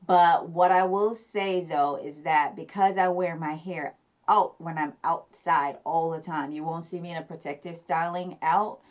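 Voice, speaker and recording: A woman's voice: low-pitched, fairly even intonation, unhurried. A young woman with a Jamaican accent, chatting casually to camera about her hair care, with lots of fillers and restarts. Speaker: hair vlogger.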